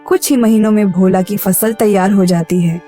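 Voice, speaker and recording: A woman's voice: medium pitch, fairly even intonation, moderate pace, music in the background. A woman narrating a scripted moral story for a cartoon.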